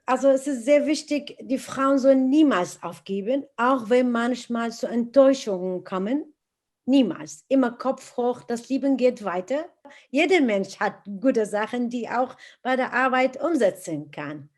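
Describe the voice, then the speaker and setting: Middle-aged woman, slightly high pitch, heartfelt interview storyteller. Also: unhurried. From Iraq. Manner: earnest, animated retelling with many hesitations.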